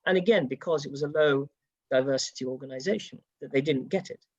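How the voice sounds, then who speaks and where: A British-born panelist telling a personal story in a panel discussion and acting out the quoted exchanges. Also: male voice, mid-range voice, fast talker, dry recording. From United Kingdom. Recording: dull, dry sound.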